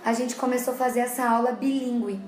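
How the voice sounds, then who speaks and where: A woman with a Brazilian Portuguese accent, a child development educator, talks parents through her points in a plain, explanatory way and circles back to repeat things. Her voice sits a little high. The sound carries the echo of a hard-walled room. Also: fairly even intonation, quick pace, darkish tone, a little hiss or hum. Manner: explanatory, repeats herself often. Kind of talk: casual talk to parents. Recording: echoey room.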